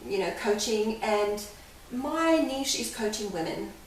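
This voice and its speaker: A woman's voice: medium pitch, lively intonation, a little slow. An older woman with a Hungarian accent, speaking earnestly as a life coach guest in an interview. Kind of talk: candid interview. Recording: echoey room, mic far off, faint hiss.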